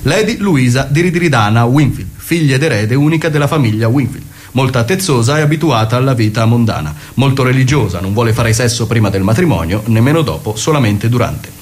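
A man's voice: medium pitch, animated, a little fast, hardly any pauses. A man narrating a scripted comic radio play in a mock-solemn storytelling manner. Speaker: narrator and performer.

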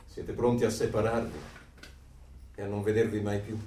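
An actor in a radio drama playing a grave leader of a secret brotherhood, with a solemn, commanding, oratorical delivery. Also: male voice, fairly low pitch, level tone, moderate pace, dark tone, lightly acted. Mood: solemn, ominous. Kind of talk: Italian radio drama acting. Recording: echoey, distant mic, faint noise.

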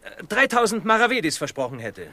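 An actor playing an excitable, scheming ship's admiral who cajoles his crew theatrically in a comic radio play. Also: sits high, a little fast, heavily acted. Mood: cajoling.

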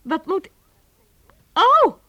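An actress playing an excitable, scatterbrained housekeeper in a comic audio drama, flustered, exclaiming and stammering. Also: female voice, very high-pitched, very slow, muffled recording, exaggerated voice. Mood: flustered, excited. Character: excitable, scatterbrained housekeeper, quick to laugh and defend herself.

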